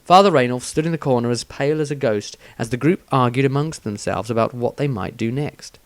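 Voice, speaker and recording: A man narrates a fantasy story in a steady, measured manner with a mid-pitched voice. The sound is dry and close, with no room around it. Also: no echo. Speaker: audiobook reader.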